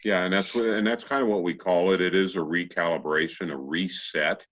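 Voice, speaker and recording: A man with a deep, low voice speaks as a co-host in relaxed spiritual conversation. He is measured and reflective, choosing his words as he goes, on a dry and somewhat dull recording. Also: dry recording. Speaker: radio show co-host. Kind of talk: casual conversational talk about spirituality. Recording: dull, lo-fi audio.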